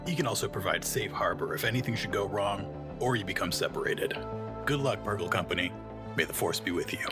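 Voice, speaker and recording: A man's voice: on the low side, lively intonation, brisk, close to an everyday voice. A man playing a stern, earnest rebel lieutenant giving a briefing in a scripted space audio drama. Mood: businesslike. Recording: music under the voice.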